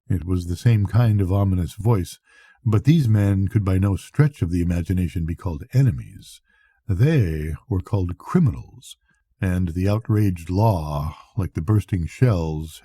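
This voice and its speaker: Male narrator, grandfather age, lowish husky voice, measured literary bedtime reading. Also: dark tone, no echo, clean studio sound. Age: older, a grandfather. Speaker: audiobook reader.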